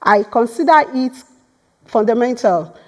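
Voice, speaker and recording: A woman's voice: on the high side, animated, measured pace. A woman whose first language is Yoruba, giving an academic lecture as a guest speaker in a lecture hall, earnest and apt to repeat words as she starts a phrase.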